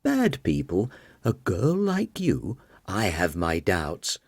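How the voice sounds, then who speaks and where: A man's voice: deep voice, huge pitch swings, takes it slowly, darkish tone, breathy edge, no room sound, studio quality, heavily acted. A man voicing a sly, taunting male monster with a teasing, mock-poetic charm in an audiobook. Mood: skeptical, mild.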